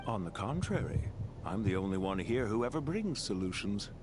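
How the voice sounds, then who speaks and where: An actor playing a haughty, sardonic financier and official in a video game, debating with condescension. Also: male voice, lowish voice, sing-song, normal speed. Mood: scornful. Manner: condescending, smooth-tongued debater. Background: prominent background music.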